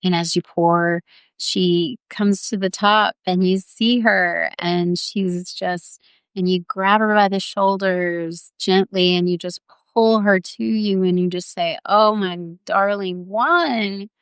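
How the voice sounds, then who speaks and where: A woman's voice: lowish voice, steady tone, slow pace, clean tone, no echo. A woman leading a guided visualization in a tender, earnest, coaxing way, improvising as she goes and repeating her phrases. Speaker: guided meditation leader.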